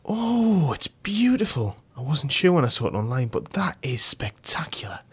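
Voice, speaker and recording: A man voicing his girlfriend in a high falsetto, gushing over a gift. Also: lively intonation, fast, slightly rough. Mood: delighted. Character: delighted woman, the narrator's girlfriend.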